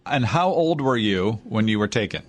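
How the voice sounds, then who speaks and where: Measured male radio host. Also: lowish voice, animated. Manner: measured, direct questions. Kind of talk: radio interview.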